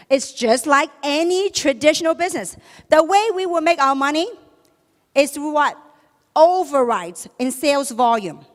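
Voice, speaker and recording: A woman whose first language is Chinese gives an emphatic motivational seminar talk, throwing rhetorical questions at a live audience in a large hall. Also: sits high. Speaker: speaker at a business seminar. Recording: long reverb of a large hall.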